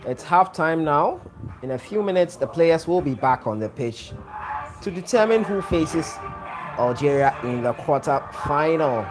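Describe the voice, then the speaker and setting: Male watch-along host from Ghana, slightly high voice, loose chatty delivery over clear background music. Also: expressive, a little slow. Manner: chatty, greets viewers by name, repeats himself. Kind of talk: live stream chat reading viewer comments.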